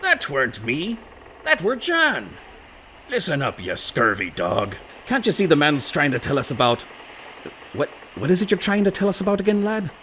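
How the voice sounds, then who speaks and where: An actor playing a bumbling, exasperated pirate captain who talks in salty sea dialect in a comedic radio play. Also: male voice, on the high side, huge pitch swings, normal speed, pushed and bright, a little hiss or hum, heavily acted. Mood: blustering.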